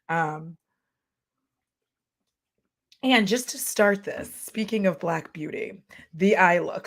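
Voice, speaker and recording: A young adult woman streamer with a hoarse, worn voice, chatting candidly and rambling through a casual livestream. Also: lowish voice. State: hoarse, losing her voice. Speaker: streamer and beauty commentator.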